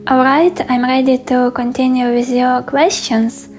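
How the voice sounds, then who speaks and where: A young woman with a Ukrainian accent, speaking English as her second language. She talks a little higher than most women, calm and earnest, as she works through viewer questions for her vlog. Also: unhurried, soft background music. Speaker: vlogger. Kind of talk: Q&A vlog narration.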